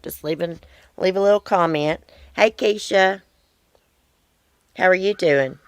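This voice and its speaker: A woman's voice: on the low side, a little slow, smooth, no room sound, clean studio sound. A woman hosting a casual live chat from her porch, reading viewer comments in a blunt, rambling way, sounding wheezy. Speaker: livestream host. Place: outdoor porch.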